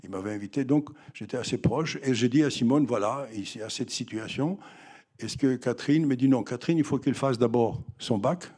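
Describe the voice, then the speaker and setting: An older film director recalling his early films in an interview, halting and full of restarts. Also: mid-range voice, mellow, husky. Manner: halting, with many fillers and restarts. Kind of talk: reminiscing interview answers.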